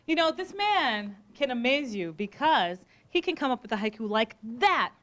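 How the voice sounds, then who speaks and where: A woman hosting a youth show, interviewing casually in a teasing, animated way. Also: fairly high pitch, normal speed, a little hiss or hum. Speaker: host of a youth educational show. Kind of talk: casual interviewing with a young audience.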